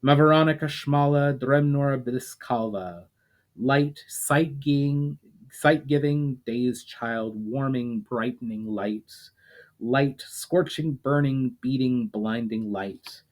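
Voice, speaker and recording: A man reading the chant of a woman calling on the power of light, slow and incantatory. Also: medium pitch, close to an everyday voice.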